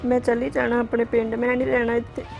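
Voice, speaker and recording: A woman's voice: fairly high pitch, flat delivery, fast, vocal fry, highs cut off, soft background music. A woman playing a tearful wronged wife. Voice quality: tearful, complaining. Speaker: young wife in a family quarrel. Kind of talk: family drama acting.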